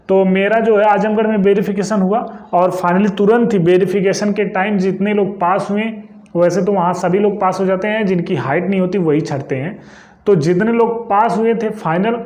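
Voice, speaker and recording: A man's voice: high-pitched, darkish tone. A young man explains things informally to viewers, often adding short check-in tags as he goes. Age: young adult. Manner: conversational, frequently checking understanding with short tags. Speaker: YouTube exam-information presenter. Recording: echoey room sound.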